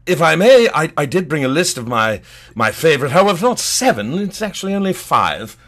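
A man playing a pompous, eccentric antiquities scholar, easily thrilled, with a halting, stammering, digressive delivery in a comedy lecture. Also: fairly high pitch, sing-song, a bit bright, heavily acted. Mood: enthused, fascinated. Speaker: guest. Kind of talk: improvised-sounding comic lecture in character.

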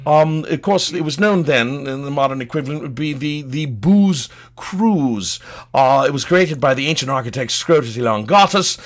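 A man playing a pompous, eccentric antiquities scholar, easily thrilled, with a halting, stammering, digressive delivery in a comedy lecture. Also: medium pitch, expressive, close to an everyday voice. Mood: enthused, fascinated. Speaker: guest. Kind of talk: improvised-sounding comic lecture in character.

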